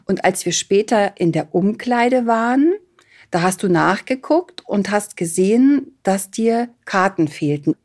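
A woman's voice: medium pitch, expressive, unhurried, darkish tone. A middle-aged or older woman who sounds like a primary school teacher, casually recalling memories. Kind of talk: casual recollection.

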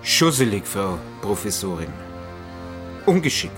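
An actor playing an earnest, fussy villager with a Bavarian-Austrian accent who explains things in a roundabout way in a radio drama. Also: male voice, fairly low pitch, big pitch swings, measured pace, music in the background. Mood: explaining.

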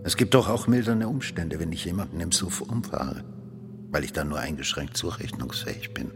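A man's voice: low voice, moderate pace. An actor playing an evasive, self-excusing defendant in a radio drama, arguing and backtracking as he goes. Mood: self-pitying. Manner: wheedling, argumentative, backtracking. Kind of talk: German radio drama acting. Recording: reverberant hall with clear music behind.

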